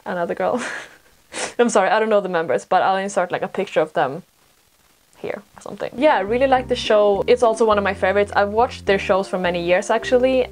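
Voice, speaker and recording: A young woman from Sweden, speaking as a non-native, talks to her viewers like a podcast host among friends. Her voice sits at a middle pitch. She tells stories casually, with self-deprecating asides, over faint music. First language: Swedish. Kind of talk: casual chatty storytelling to camera.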